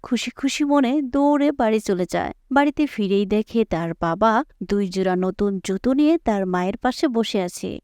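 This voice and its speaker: A woman's voice: mid-range voice, brisk, darkish tone, clean tone, no room sound, studio quality. A woman narrator reading a scripted story.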